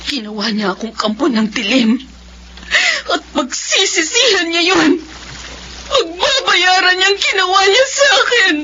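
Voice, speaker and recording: A woman's voice: extremely high pitch, very animated, unhurried, muffled recording, noisy background, heavily acted. An actress playing an anguished, defiant young woman cursed to be a monster, in melodramatic radio horror style. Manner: tearful, melodramatic. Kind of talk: Filipino radio horror drama acting.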